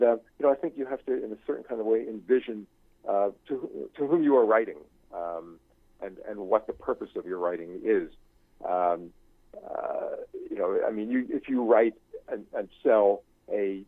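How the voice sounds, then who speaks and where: An older man speaking as an interview guest in a reflective, conversational way, haltingly searching for his words. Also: on the low side, breathy edge, no echo. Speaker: interview guest, novelist and screenwriting teacher. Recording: thin phone-like line.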